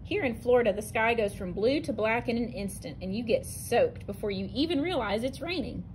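A woman teacher reads a picture book aloud to her students, her voice a touch high and her delivery clear and expressive. She stumbles and restarts now and then. A faint hiss of room noise sits under the recording. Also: fairly quick, low-quality recording. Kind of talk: reading a narrative poem aloud to students. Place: indoors, at a table over an open book.

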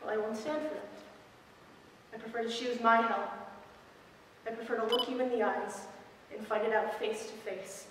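A woman's voice: fairly high pitch, fairly even intonation, moderate pace, long pauses, natural voice. A teenage girl performing a stage monologue as an accusing, relentless, tormenting woman, with biting, declamatory intensity. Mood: defiant. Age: teens. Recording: noisy, echoey hall, far from mic.

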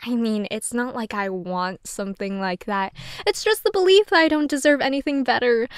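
High voice, dry close recording, actress as flustered sarcastic young woman, self-deprecating, rambling, audio roleplay. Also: dry recording. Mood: self-deprecating, confessional. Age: young adult. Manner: rambling, sarcastic, swearing casually. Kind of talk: audio roleplay monologue addressed to the listener.

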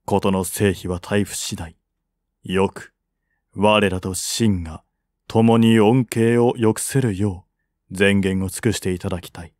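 A man's voice: lowish voice, steady tone, a little slow, darkish tone, no room sound, clean studio sound, lightly acted. A man voicing a grave, persuasive court strategist with courtly manners in an audiobook. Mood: grave persuasion. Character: solemn, persuasive male strategist of ancient China. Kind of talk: audiobook character reading.

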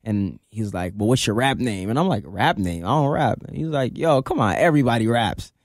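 A man's voice: medium pitch, lively intonation, a little fast, no room sound. A young man from Ghana, raised in Amsterdam, talking loosely with lots of fillers as a guest on a radio interview, close to a studio microphone. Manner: laid-back, many fillers, laughs easily. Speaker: guest rapper. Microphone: studio mic on boom arm.

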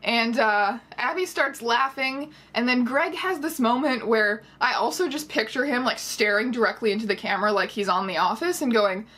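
A woman in her twenties giving sarcastic, animated commentary as a casual vlogger talking to camera. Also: on the high side, normal speed, hardly any pauses. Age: 20s. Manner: sarcastic, animated storytelling with mocking quotes. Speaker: vlogger reviewing a book. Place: small bedroom, indoors.

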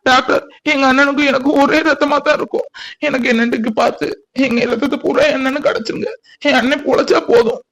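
A man's voice: extremely high pitch, little pitch movement, brisk. A man playing a caring younger brother in a family melodrama, earnest and reassuring, with a southern Tamil Nadu accent. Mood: pleading.

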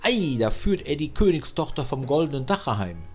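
A man's voice: a little high, sing-song, normal speed, soft background music. A man voicing a knowing, ominous talking raven in a fairy-tale reading. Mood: foreboding.

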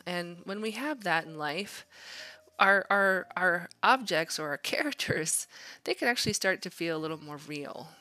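A woman's voice: fairly low pitch, sharp tone, dry recording. A woman art instructor casually narrating a drawing demonstration, thinking aloud in an informal, encouraging way. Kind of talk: casual drawing tutorial narration over a screen demo.

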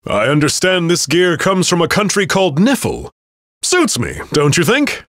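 Cocky young warrior lord, game character, fairly high male pitch, very animated, playful swagger. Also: moderate pace, no room sound. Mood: cocky, easygoing. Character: hearty, cocky young armored warrior lord, brash and friendly. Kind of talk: English video game character voice clips.

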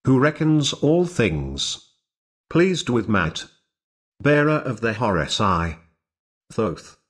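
A man's voice: medium pitch, big pitch swings, slow, breathy edge. A man reading aloud in a solemn, declamatory recitation, in short separated phrases. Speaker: reader. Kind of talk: slow solemn recitation of ancient religious epithets.

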